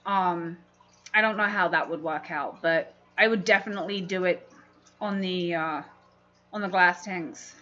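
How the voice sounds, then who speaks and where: A woman's voice: on the low side, unhurried, pushed and bright. London woman chatting on a muffled stream. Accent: London English. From London, England. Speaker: livestream host, fishkeeping hobbyist. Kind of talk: casual livestream chat answering viewers. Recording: dull, muffled livestream audio.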